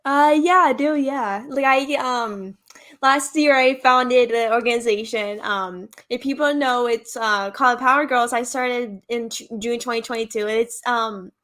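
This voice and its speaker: A woman's voice: a little high, unhurried, a bit bright. A young woman co-hosting a podcast, talking casually and conversationally, often leaning on "like" and "basically". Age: young adult. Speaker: podcast co-host, organization founder.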